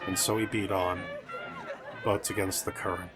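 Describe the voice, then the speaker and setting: Low-voiced reflective narrator played by a man. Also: little pitch movement, normal speed, natural voice. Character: reflective male narrator of a literary classic. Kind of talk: dramatic literary narration. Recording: loud music and crowd sounds behind.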